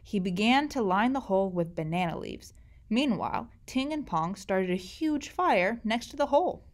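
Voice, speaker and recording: A woman teacher reading a children's story aloud, expressive storybook reading. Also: mid-range voice, moderate pace.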